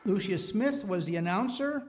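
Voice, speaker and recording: A man hosting a community show, informally reading thanks and credits in a halting, hesitant way. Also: high-pitched, lively intonation, long reverb tail, heavy background noise. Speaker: host of a community radio-play show. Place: hall with a live audience.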